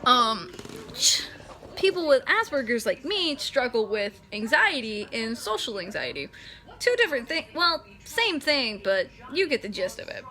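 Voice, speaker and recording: Young woman vlogger, nineteen, high bright voice, chatty and self-correcting. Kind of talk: casual talking-head explainer.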